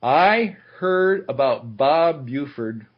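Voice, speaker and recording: A man's voice: fairly high pitch, animated, slow. A man hosting an interview show, chatty and self-deprecating as he introduces his guest. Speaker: podcast host. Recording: muffled lo-fi sound.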